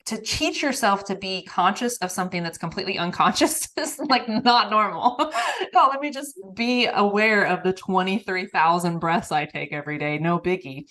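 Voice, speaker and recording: A woman hosting a podcast conversation, talking in an emphatic, animated way with frequent laughs. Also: medium pitch, moderate pace. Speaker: podcast host, nervous system coach.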